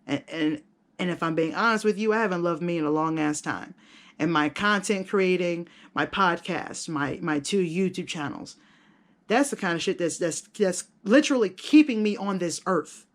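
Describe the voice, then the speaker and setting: A woman's voice: on the low side, moderate pace. A woman chatting casually to her viewers as a vlogger, candid, self-deprecating and rambling, with slangy language and casual swearing. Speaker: video blogger and content creator. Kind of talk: casual vlog chat to viewers.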